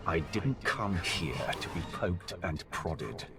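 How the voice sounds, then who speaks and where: A grim, suspicious commander from a video game. His voice is extremely low with a gravelly creak, and he gives terse, stern orders over music. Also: animated, takes it slowly, full character voice. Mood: defiant. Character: grim, hardened male military commander, suspicious and resolute.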